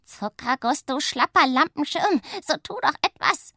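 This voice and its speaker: A woman voicing a loud, bossy and mischievous witch who talks in a gruff, scolding way, with a breathy voice. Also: medium pitch, very animated, moderate pace, no room sound, studio quality. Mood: rowdy.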